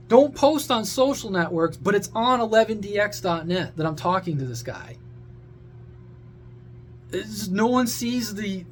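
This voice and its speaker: A man's voice: high voice, a little hiss or hum. A man giving a casual, opinionated rant to his viewers, blunt, sarcastic, crude and exasperated. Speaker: social media creator and CB radio hobbyist.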